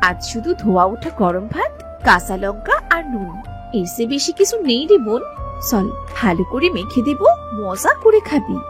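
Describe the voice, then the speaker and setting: A woman voicing a caring, fussing elder sister, a poor young village woman in an animated moral story. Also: fairly high pitch, moderate pace, music in the background. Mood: coaxing. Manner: expressive, fussing, motherly.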